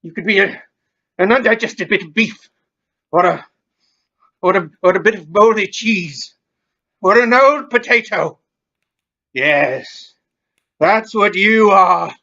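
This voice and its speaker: Audio drama role: male actor as agitated old miser, high-pitched, stammering, pleading and stubborn, on a dull recording. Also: slow pace, pauses often, pushed and bright, exaggerated voice. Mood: flustered denial. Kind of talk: audio play acting of a classic ghost story.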